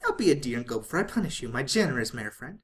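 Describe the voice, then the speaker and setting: A narrator voicing a hyperactive, bubbly and bossy young female cartoon pony in an excitable, playful way. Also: mid-range voice, very fast.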